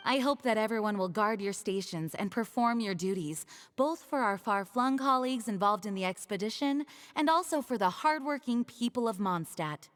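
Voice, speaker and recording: A woman voicing a composed, dutiful knight commander in a video game, formal, measured and gracious. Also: fairly high pitch, long reverb tail, natural voice.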